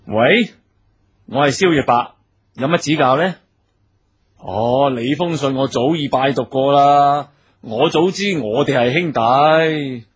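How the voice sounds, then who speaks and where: An actor playing an anxious, easily flustered wealthy husband in a Cantonese radio drama, agitated and given to sighing and stammering. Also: male voice, mid-range voice, slow pace, slightly rough. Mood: tense, guarded.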